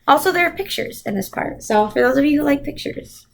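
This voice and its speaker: A woman with a high-pitched voice reads a children's book aloud. She addresses young listeners directly and puts things in simple, explanatory terms. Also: expressive, fairly quick, gravelly, slight background noise. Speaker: volunteer reader for a children's club. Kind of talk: read-aloud of a children's novel with simplifying asides.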